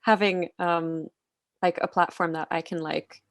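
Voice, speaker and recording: A woman in her twenties from Finland, a podcast guest talking casually on a video call, soft-spoken with many "like" fillers and frequent giggles. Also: fairly low pitch, fairly even intonation, a little slow, no echo, studio quality. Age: 20s. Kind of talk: casual interview chat.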